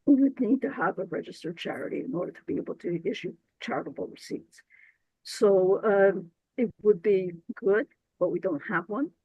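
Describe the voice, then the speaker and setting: Woman applicant, scratchy hoarse voice, earnest, dry close mic. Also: medium pitch, normal speed, no echo, lo-fi sound. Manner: earnest, self-correcting, restarts phrases. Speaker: grant applicant presenting to council. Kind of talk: explaining her application at a council meeting.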